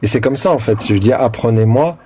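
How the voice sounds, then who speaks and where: A man from Brittany who hosts live streams, speaking calmly with many hesitations. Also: mid-range voice, a little fast, noisy background. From Brittany, France. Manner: calm, hesitant with many fillers. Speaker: live stream host and convert. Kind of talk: casual discussion.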